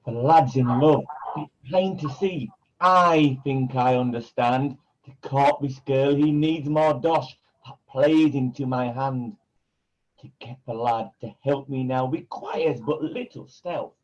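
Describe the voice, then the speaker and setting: An actor playing a scheming pantomime villain who poses as a kindly uncle, speaking in theatrical, wheedling rhyme. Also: male voice, mid-range voice, slow pace, dull audio. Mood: sly, plotting. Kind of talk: rhyming pantomime acting.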